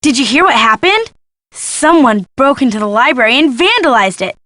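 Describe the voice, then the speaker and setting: Game role: young woman photojournalist, nosy and sardonic, forceful bright tone, close dry sound. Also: high voice, sing-song, moderate pace, dry recording. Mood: conspiratorial, perky. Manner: gossipy, sardonic, dramatic emphasis. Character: gossipy, snarky young female photojournalist snooping for scandal. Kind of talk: video game character dialogue.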